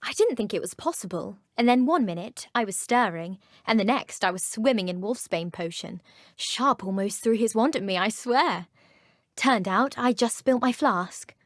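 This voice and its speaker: A woman's voice: mid-range voice, animated, normal speed, no echo, clean studio sound, close to an everyday voice. A woman voicing a gossipy schoolgirl at a wizarding school in a video game, with a confiding, chatty manner. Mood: incredulous, chatty. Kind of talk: video game character dialogue.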